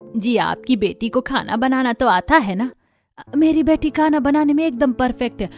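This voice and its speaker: A woman's voice: high voice, moderate pace, a little mellow, faint music behind. A woman voicing chatty, opinionated women of an Indian household in a cartoon family story. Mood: polite.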